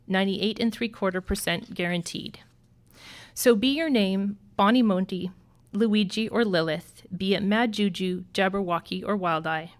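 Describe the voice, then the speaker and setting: A woman teacher giving a graduation speech as a rhyming poem, in a sing-song rhythm that slows on key lines. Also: medium pitch.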